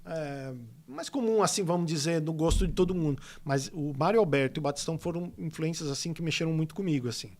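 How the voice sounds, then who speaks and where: A man's voice: on the high side, fast. A man from São Paulo, a guest illustrator telling stories in a casual podcast interview, rambling with lots of fillers. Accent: São Paulo Portuguese. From São Paulo state, Brazil. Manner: rambling, animated storytelling with many fillers.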